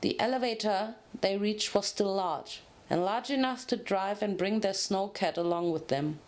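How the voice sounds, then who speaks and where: A woman narrates a piece of fan fiction in a medium-pitched voice. She reads as a storyteller would, in a dramatic scripted style, with an occasional stumble over the words. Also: moderate pace.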